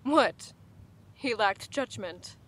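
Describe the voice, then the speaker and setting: High-voiced, innocent, blunt goddess. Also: female voice, lively intonation, very slow, fairly bright tone, slight background noise, full character voice. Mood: puzzled. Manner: plain-spoken, innocent, direct. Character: blunt, wide-eyed young goddess from the void. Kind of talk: audio drama acting.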